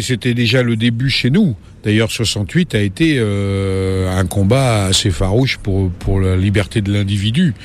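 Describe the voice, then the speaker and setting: A man in his late sixties with a fairly low voice recalls his travels in an unhurried interview. He hesitates often, fills gaps and restarts his phrases. A faint hum of ambient noise sits behind him. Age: 60s. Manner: hesitant, many fillers and restarts. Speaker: travel reporter and photographer. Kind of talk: relaxed interview answers.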